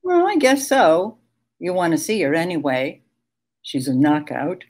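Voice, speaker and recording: An actress playing a breezy, familiar secretary in a 1940s-style radio drama, brisk and casual. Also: female voice, on the low side, huge pitch swings, darkish tone, full character voice.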